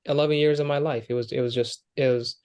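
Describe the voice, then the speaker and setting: An earnest young man tells his life story in a relaxed interview. His pitch sits in a middle range. He talks with lots of "like" and restarts. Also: fast talker, clean tone. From Kenya, Somali family. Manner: earnest, with frequent fillers and restarts. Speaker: guest, Air Force airman.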